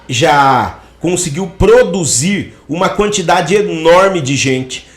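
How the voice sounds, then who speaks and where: A man's voice: high voice, echoey room. A man hosting a podcast interview, introducing his guest in effusive, inspirational phrasing. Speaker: podcast host.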